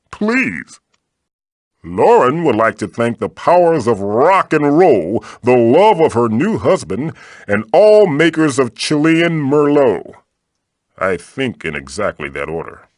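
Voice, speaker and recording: A man's voice: mid-range voice, dry recording, studio quality. A man voicing a playful, theatrical magical wooden mask spirit for a comic video game, with a wry manner. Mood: snide.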